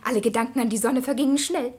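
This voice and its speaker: A woman's voice: on the high side, fairly even intonation, fast talker, a little mellow, husky. A woman playing an eager, musing village child in a poetic radio drama, reciting short lyrical phrases.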